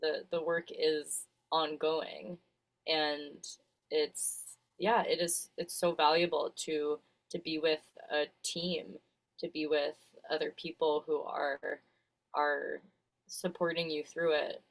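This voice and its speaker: A woman trainer speaking over a video call in a very low voice. She talks slowly and thoughtfully, often trailing off mid-phrase. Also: flat delivery. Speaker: trainer for mothers. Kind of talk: casual video-call interview.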